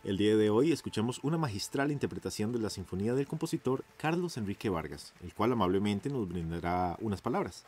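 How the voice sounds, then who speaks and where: A man's voice: on the low side, sing-song, fairly quick, few pauses, darkish tone, slightly rough. A man acting in radio theatre as a courteous, enthusiastic 1950s radio journalist, with a Costa Rican accent and a formal, announcer-like manner. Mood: formal announcing. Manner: formal, effusively polite, announcer-like.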